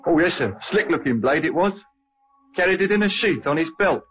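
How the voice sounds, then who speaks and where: A man playing a plain-spoken, obliging workmate in an old radio drama, brisk and colloquial. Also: a little high, animated, close to an everyday voice. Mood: matter-of-fact. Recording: dull old radio recording.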